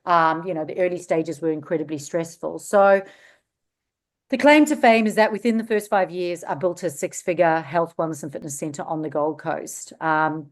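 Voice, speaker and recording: Woman, South African Australian accent, forties, animated, slightly low voice, interview guest. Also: normal speed. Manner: animated, laughs easily, self-described hyperactive. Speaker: guest entrepreneur. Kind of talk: casual interview storytelling.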